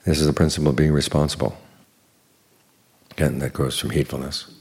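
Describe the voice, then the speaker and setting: Deep, gravelly-voiced man giving a Dharma talk. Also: fairly quick. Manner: calm, explanatory, repeats small words. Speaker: Buddhist teacher.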